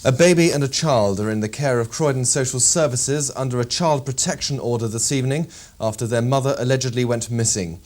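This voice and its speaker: A man with a British accent reading a scripted local television news bulletin in a formal, measured manner. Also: medium pitch, a little mellow.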